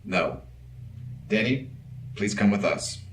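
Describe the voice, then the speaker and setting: Deadpan robot security officer, echoey. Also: male voice, fairly low pitch, slow pace, full character voice. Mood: exasperated, deadpan. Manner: terse, deadpan, businesslike. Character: dry, patient robot security officer, male. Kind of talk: audio drama acting. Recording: roomy echo with clear background noise.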